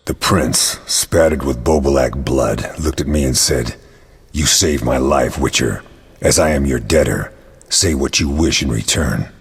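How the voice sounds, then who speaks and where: Grave game monster hunter, extremely deep raspy voice, long cave-like reverb. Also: male voice, a little slow, exaggerated voice. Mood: grave, measured. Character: grave, battle-hardened adult male monster hunter. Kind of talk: video game dialogue acting.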